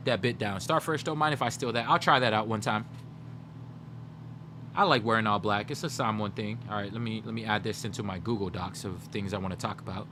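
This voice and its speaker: A young man livestreaming, chatting casually with his viewers and rambling as he brainstorms jokes out loud. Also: lowish voice, background noise. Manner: rambling, brainstorming jokes aloud, talking to chat. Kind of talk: casual chat with live viewers.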